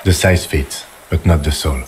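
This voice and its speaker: Casting: courteous game detective questioning a suspect, very low voice, music underneath. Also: male voice, moderate pace, slight background noise. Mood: probing, polite. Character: polite, probing police investigator questioning a suspect. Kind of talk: video game dialogue. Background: background music.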